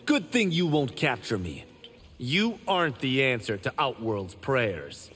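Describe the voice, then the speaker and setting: A man's voice: medium pitch, big pitch swings, a little slow, fairly bright tone, big-room sound, slight background noise. An actor playing a cocky, witty young male monk fighter in a video game, wry and joking in manner. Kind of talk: video game character voice acting.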